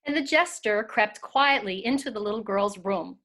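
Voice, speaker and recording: A woman's voice: medium pitch, moderate pace, fairly bright tone. A woman narrating a storybook tale as part of a scripted readers theater performance.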